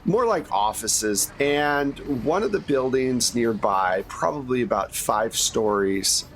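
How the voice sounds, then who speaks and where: A man with a medium-pitched voice acts as game master for a tabletop session. He describes scenes on the fly in a loose, conversational style. Some noise sits behind his voice. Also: expressive, slow pace, low-quality recording. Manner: casual, conversational. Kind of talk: improvised tabletop game narration.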